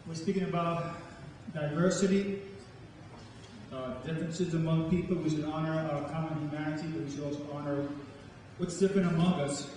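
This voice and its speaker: A man's voice: on the high side, steady tone, brisk, pauses often, a little mellow. Middle aged new guy doing spoken word live, really echoey, far from the mic. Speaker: poet, guest performer. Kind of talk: live spoken-word performance with informal remarks to an audience. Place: campus event hall, live audience. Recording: echoey hall, distant mic, faint noise.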